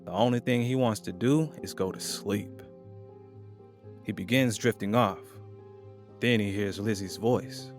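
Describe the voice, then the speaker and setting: Male narrator for audio drama, lower-pitched, scripted storytelling delivery with clear music underneath. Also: measured pace, pauses often.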